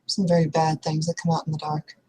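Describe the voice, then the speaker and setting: A woman improvising in-character dialogue for a roleplaying session, speaking in a hesitant, halting way. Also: low-pitched, completely flat delivery, mellow, a little hiss or hum.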